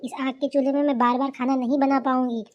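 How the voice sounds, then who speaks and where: Sassy young newlywed for a cartoon comedy, played by a woman: high voice, indignant back-talk, noisy recording. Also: little pitch movement, quick pace, highs cut off, natural voice. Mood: defiant. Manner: indignant, complaining, talks back. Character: sassy, defiant young newlywed daughter-in-law in a village. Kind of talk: Hindi cartoon family comedy dubbing.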